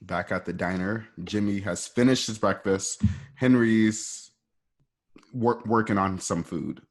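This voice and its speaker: A man narrating a radio play, reading out scene directions in a conversational way with a husky voice. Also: fairly low pitch, measured pace.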